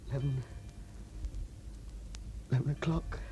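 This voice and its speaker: An actor playing a young coal miner, intense and stammering, moving from defiant shouts to broken whispers. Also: male voice, medium pitch, slight background noise. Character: desperate young male coal miner, emotional. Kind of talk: film drama acting.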